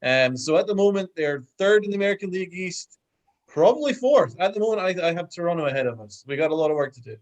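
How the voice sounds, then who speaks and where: A man's voice: high-pitched, lively intonation, fast. A man co-hosting a casual sports podcast over a video call, giving blunt, emphatic opinions. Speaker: podcast co-host.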